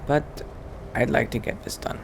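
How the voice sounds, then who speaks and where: A man's voice: unhurried, slight background noise, natural voice. A man playing a cautious vampire adventurer in an improvised game roleplay, musing hesitantly to himself. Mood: hesitant, curious. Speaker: roleplay let's-play player. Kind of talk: in-character improvised roleplay monologue.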